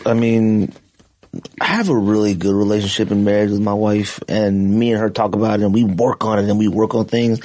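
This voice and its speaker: A man's voice: lowish voice, level tone, brisk, a little mellow. A middle-aged man co-hosting a casual podcast, answering listener questions in a laid-back, joking way, with slang like "fam". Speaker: podcast co-host.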